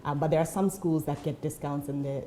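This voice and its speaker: A woman's voice: low voice, steady tone, brisk, mellow, slight background noise. A woman from Ghana, an education program founder, answering earnestly in a TV interview with frequent fillers.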